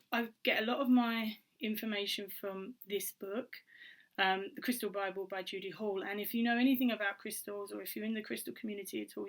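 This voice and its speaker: A woman vlogger, slightly hoarse from a cold, chatting casually to camera with many ums and restarts. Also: medium pitch, a bit bright, rough recording. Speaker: vlogger and author.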